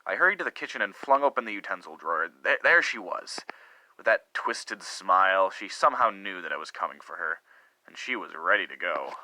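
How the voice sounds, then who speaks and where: A man acts out a middle-aged husband confessing a torrid affair in a melodramatic story reading. His voice is low and strikingly sharp and forceful. He speaks earnestly and theatrically, leaning on dramatic pauses and swells. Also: very animated, no echo, full character voice, poor audio. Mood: brooding, guilty.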